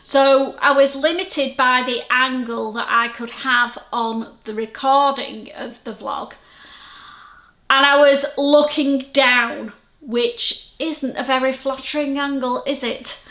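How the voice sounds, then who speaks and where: A woman's voice: sits high, fairly even intonation, slow, pushed and bright. Chatty Lancashire woman in an echoey room. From Lancashire, England. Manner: friendly, rambling, self-deprecating. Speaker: sewing vlogger. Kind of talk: chatty vlog to camera. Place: at home. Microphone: camera-mounted microphone. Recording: echoey room, camera mic.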